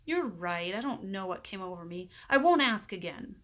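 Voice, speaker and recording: A woman voicing an eager, pleading, excitable schoolboy in a children's storybook. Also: medium pitch, normal speed, natural voice. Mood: sheepish. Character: eager, pleading schoolboy with poor self-control.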